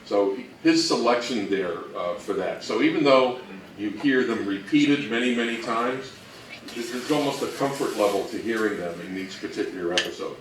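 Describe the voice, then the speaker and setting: A man giving an informal, explanatory talk on radio history as a presenter, speaking somewhat haltingly. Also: fairly low pitch, animated. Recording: roomy, distant mic, faint noise.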